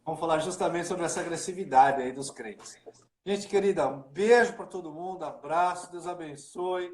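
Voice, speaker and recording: Chatty pastor in an echoey room. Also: male voice, fairly high pitch, normal speed, darkish tone. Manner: chatty, informal, full of fillers like né and cara. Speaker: pastor hosting a livestream. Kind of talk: casual livestream chat.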